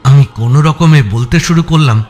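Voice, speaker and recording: A man narrating a suspense audio story in the first person, with expressive, dramatic delivery. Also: fairly high pitch, moderate pace. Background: background music.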